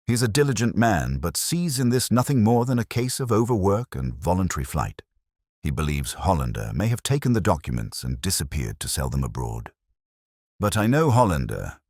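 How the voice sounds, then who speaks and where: Earnest, very deep-voiced gentleman client, read by a man. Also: very animated, moderate pace, no room sound, clean studio sound, exaggerated voice. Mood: earnest, insistent. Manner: formal, emphatic witness account. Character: earnest, worried gentleman client of a Victorian detective.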